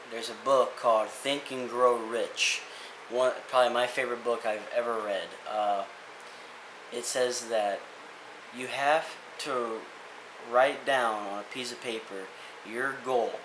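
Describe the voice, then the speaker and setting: A man's voice: mid-range voice, steady tone, a little slow, noisy background. Earnest young man in an echoey room. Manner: earnest, rambling, many restarts and fillers. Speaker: vlogger. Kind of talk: casual motivational rant.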